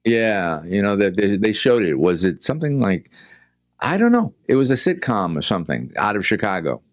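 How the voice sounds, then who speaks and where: A man's voice: on the low side, very animated, normal speed, no room sound, clean studio sound. A man in his early sixties with a Boston accent, hosting a casual interview in a rambling way that repeats words. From Boston, New England. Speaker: interview show host.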